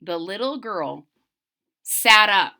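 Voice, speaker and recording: Lady doing a read-aloud for kids, bright voice, swings up and down a lot, explains as she goes. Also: medium pitch, slow. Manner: animated, dramatic, explains the story as it goes. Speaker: children's story reader. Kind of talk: expressive read-aloud with explanatory asides to children.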